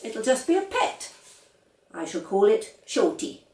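A woman's voice: on the high side, very animated, measured pace, mellow, echoey room, recorded from a distance, voice pushed up. A woman voicing a small, determined and scornful little girl in a storybook reading, emphatic and matter-of-fact.

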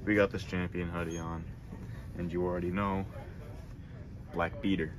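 Deep-voiced teen guy joking in a store. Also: normal speed, over a noisy crowd. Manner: deadpan joking, reads product labels aloud. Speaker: vlogger. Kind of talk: casual handheld vlog. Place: large store, indoors. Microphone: handheld phone camera.

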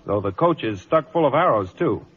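A man playing a brisk, officious young cavalry lieutenant with a clipped military manner in a radio drama. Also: mid-range voice, lively intonation, husky. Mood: urgent report. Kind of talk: old-time radio drama acting. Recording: tinny, echoey old radio recording.

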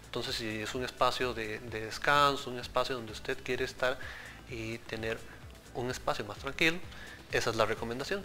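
A man explains things earnestly, in a bright, clear voice at a middling pitch. He speaks into a lapel mic in a TV studio, with faint music underneath. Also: normal speed. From Central America. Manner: explanatory, earnest, addresses host directly. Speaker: product engineer guest. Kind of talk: TV studio interview.

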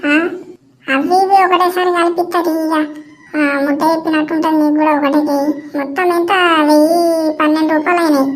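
High, monotone, wheedling old village granny played by a woman. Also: a little fast, a little hiss or hum, natural voice, poor audio. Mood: evasive, coaxing. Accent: Telangana Telugu. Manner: wheedling, complaining, evasive. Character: wily, pleading elderly village grandmother buying on credit. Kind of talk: village comedy cartoon dialogue.

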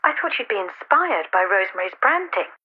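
A woman's voice: medium pitch, very animated, a little fast, slightly rough, dull audio. A woman playing a pushy, upbeat branding promoter in a comedy audio drama. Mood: eager, selling.

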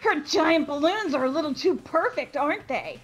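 Manic high-pitched schoolgirl killer. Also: animated, normal speed, low-quality recording. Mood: gleeful menace. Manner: taunting, theatrical. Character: manic, gleeful, murderous teenage schoolgirl serial killer. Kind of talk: video game character voice-over. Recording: music bed behind voice.

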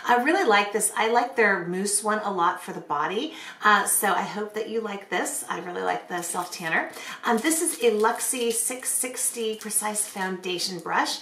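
Casting: middle-aged female beauty vlogger, bright but a bit hoarse, casual chatty delivery, roomy indoor sound. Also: mid-range voice, normal speed, a little hiss or hum. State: hoarse from bronchitis. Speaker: beauty vlogger hosting a giveaway. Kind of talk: casual chatty product presentation to camera. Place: small bedroom, indoors. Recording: roomy, echoey bedroom sound.

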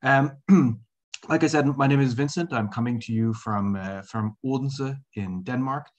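A man's voice: mid-range voice, a little slow, darkish tone, muffled recording. A middle-aged man giving an academic presentation over a video call, with many fillers and restarts. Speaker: guest speaker, associate professor. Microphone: video call webcam.

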